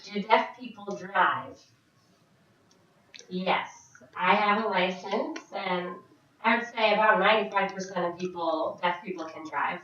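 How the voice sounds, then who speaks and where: A woman voicing a signed question-and-answer vlog as an interpreter, speaking in short, halting phrases with frequent restarts. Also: mid-range voice, bright, forceful tone, a little hiss or hum. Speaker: sign language interpreter voicing a Deaf vlogger. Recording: very echoey, distant, dull sound.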